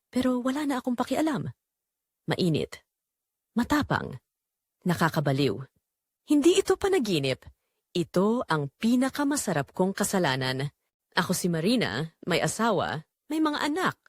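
Woman acting as dramatic confessional wife, lively pitch. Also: no room sound, clean studio sound. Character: married mother narrating a secret confession. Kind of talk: scripted first-person audio drama narration.

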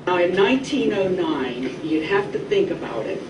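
A woman's voice: lowish voice, very animated, normal speed, dull audio. A woman guest speaker giving an educational talk to students. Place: classroom food lab, replayed on screen. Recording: distant echoey re-recorded playback, noisy room.

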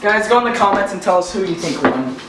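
A teenage boy hosting a casual cooking vlog, talking haltingly with many fillers in a home kitchen, recorded by a far camera. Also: sits high, animated, over a noisy crowd. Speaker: vlog host. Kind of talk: casual chat. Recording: echoey kitchen from far off, noisy.

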